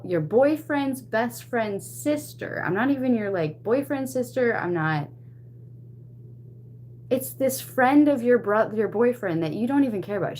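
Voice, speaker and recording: A woman chatting casually to stream viewers, retelling a personal story in an animated, expressive way. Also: medium pitch, a little hiss or hum. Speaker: livestream host.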